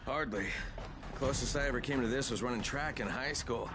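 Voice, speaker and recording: An actor playing an incredulous, rattled young newcomer in a video game, profane and sarcastic, with an American accent. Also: male voice, medium pitch, a little fast, very noisy, close to an everyday voice. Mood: wry, modest. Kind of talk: video game dialogue acting.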